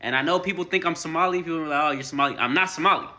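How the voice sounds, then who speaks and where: A man's voice: a little high, racing. An American man from a played clip ranting casually and repeating himself in exasperation. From United States. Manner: animated, repetitive, exasperated ranting.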